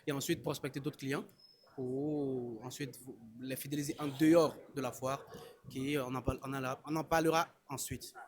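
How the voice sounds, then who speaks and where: A man's voice: medium pitch, lively intonation, normal speed. A man from Côte d'Ivoire, a fruit exporter, answering interview questions at a trade fair in a hesitant, chatty way with frequent restarts. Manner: hesitant, restarts often, laughs easily. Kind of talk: casual spoken interview. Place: busy trade fair hall.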